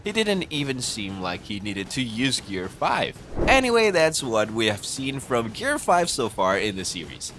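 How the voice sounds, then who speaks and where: A man's voice: medium pitch, wildly swooping pitch, unhurried, hardly any pauses. A man reading scripted narration for an explainer video, recorded as a voice-over. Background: background music.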